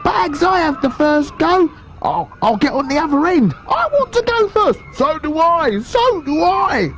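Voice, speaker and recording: Excited storybook kids, high clamoring voices, as a man puts them on. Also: a little slow, a little hiss or hum, heavily acted. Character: crowd of excited children. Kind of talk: storybook reading.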